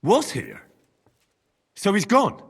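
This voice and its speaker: An actor playing an earnest, questioning young man in service to medieval lords in a video game cutscene, with a husky voice. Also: high voice, huge pitch swings, slow, a bit bright, heavily acted. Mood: puzzled. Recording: long hall reverb.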